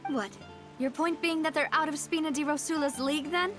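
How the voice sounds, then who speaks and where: A woman's voice: high voice, steady tone, brisk, lightly acted. A woman voicing a determined young leader. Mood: questioning. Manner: earnest and firm. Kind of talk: video game voice acting. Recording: game audio with music behind.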